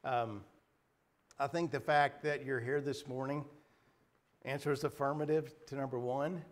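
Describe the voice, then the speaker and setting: A middle-aged man preaching a sermon in a small church, slightly hoarse, earnest and halting, clearing his throat often. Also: medium pitch, fairly even intonation. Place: small wood-paneled church, indoors. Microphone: pulpit microphone at a distance.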